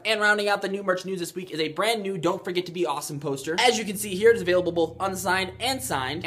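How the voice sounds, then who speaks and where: A young man in his twenties hosting a cheerful merch update, recorded in a large dim warehouse. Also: high voice. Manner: energetic, talks with his hands. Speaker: host. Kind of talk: upbeat merch update vlog.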